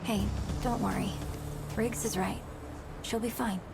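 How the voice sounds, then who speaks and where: Loud music runs under a hardened woman survivor in a video game. She talks in short, dry phrases at a middle pitch, guarded and understated. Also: fairly even intonation, unhurried, creaky. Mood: weary, reassuring. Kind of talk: video game cutscene acting.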